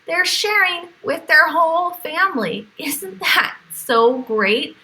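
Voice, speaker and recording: A woman reading aloud as a teacher to young children, with animated exclamations, questions and explanatory asides. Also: extremely high pitch, slow. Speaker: teacher reading to a virtual class.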